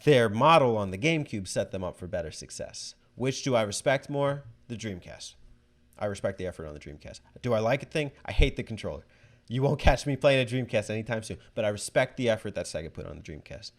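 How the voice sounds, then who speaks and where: A man's voice: fairly low pitch, lively intonation, a little fast. A man hosting a voicemail segment, giving casual video game commentary in a conversational way, thinking aloud as he goes. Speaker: host answering listener voicemails.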